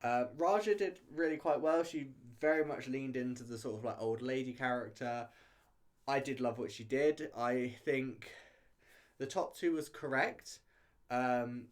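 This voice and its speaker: A man with a mid-pitched voice gives his opinions as a reviewer in a casual, chatty commentary. He talks loosely and hesitantly, often hedging and breaking off to rephrase as he goes. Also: measured pace. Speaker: reality show reviewer.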